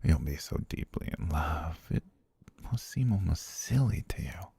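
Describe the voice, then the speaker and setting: A man playing a possessive, lovestruck butler in an intimate ASMR roleplay, patient and menacing, with courtly, softly coaxing, half-whispered speech and a gravelly, husky low voice. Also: very animated, a little slow, no echo, heavily acted. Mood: calm menace.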